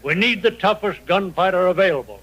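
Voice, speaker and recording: An actor playing a middle-aged, reform-minded western town mayor, speaking with formal authority in an old radio drama. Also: male voice, sits high, animated, a little fast, fairly bright tone, lo-fi sound. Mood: firm, decided. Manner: authoritative, formal meeting chairman. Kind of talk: 1950s radio drama acting.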